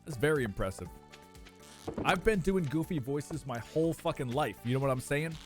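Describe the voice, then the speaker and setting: Animated young guy streaming, lively pitch. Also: moderate pace, soft background music. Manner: animated, swings into high laughing squeaks. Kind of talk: casual live-stream chat with viewers.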